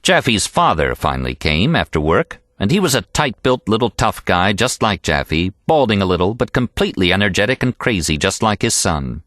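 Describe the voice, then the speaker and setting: A man reading an audiobook in an expressive, animated storytelling style. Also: fairly low pitch, moderate pace, hardly any pauses, no echo, clean studio sound. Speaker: audiobook narrator. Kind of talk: expressive scripted audiobook narration.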